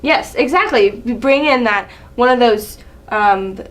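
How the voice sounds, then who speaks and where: A young adult woman speaks in a fairly high voice. Her style is casual and conversational, like a student presenting informally. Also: a little slow, a bit bright, a little hiss or hum.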